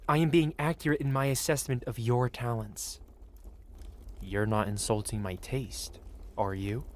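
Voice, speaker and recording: A man's voice: mid-range voice, steady tone, unhurried, slight background noise, natural voice. A man playing a possessive, wealthy dragon merchant who speaks in formal, flowery, courteous phrases in an intimate fantasy ASMR roleplay. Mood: admiring, intent. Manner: formal, flowery, courteous speech addressed to one listener.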